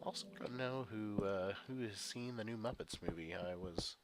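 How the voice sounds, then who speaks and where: A man's voice: fairly low pitch, moderate pace, music in the background. A man with a head cold, congested and sniffling, chatting casually over gameplay in a rambling, chuckling way. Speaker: gaming video creator. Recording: loud background noise and clicks.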